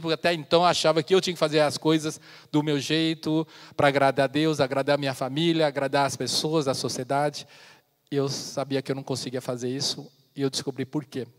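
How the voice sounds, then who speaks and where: A man in his fifties from China, giving an earnest personal testimony as a missionary speaker in a church, with pauses and restarts. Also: fairly high pitch. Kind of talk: testimony sermon. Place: church sanctuary. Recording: long church hall reverb.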